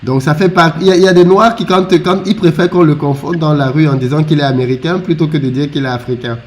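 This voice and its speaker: A man's voice: on the high side, steady tone, quick pace, slight background noise. A man co-hosting a discussion, agreeable and reflective, with a light laugh now and then. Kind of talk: conversational discussion.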